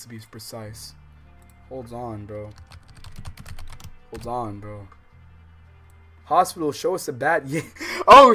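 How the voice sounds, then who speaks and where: A man livestreaming a visual novel, switching between casual, slangy, exclamatory reactions and reading the game text aloud. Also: mid-range voice. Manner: animated, loud exclamatory outbursts. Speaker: streamer playing a visual novel.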